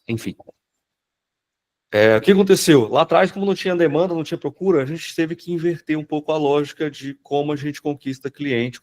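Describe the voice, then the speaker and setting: A man with a cold talks in a hoarse, slightly nasal voice at an ordinary mid pitch. He rambles informally through a live webinar, full of fillers and restarts. He sounds like he is on a computer mic during a video call. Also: a little mellow. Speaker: automation business owner presenting in a webinar. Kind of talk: casual live presentation.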